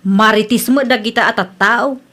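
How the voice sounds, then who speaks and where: A woman's voice: a little high, steady tone, moderate pace, fairly bright tone, close to an everyday voice. A woman acting in an Ilocano comedy radio drama as a stubborn, defensive younger cousin full of excuses, with an Ilocano accent. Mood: defensive, sulky.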